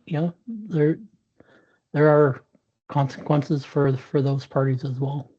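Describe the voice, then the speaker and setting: A man with a dark, husky voice tells a candid story as an interview guest, stumbling and restarting as he goes. The webinar audio sounds dull and lo-fi. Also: on the high side, fairly even intonation, slow. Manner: frequent restarts and fillers, earnest. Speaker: interview guest, sales professional. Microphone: video call.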